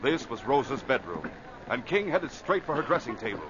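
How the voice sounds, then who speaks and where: A man's voice: medium pitch, big pitch swings, normal speed, natural voice. A man narrating an adventure serial in a dramatic, scripted old-time radio style. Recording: noisy, muffled old radio recording.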